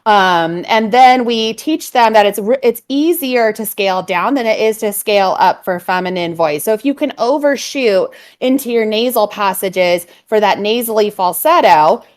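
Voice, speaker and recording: A woman from California explains vocal technique in a steady, mid-pitched voice. A little vocal fry colors her words, and she fills her pauses with "um" as she works through each point. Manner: fluent, explanatory, many "um"s. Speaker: voice coach.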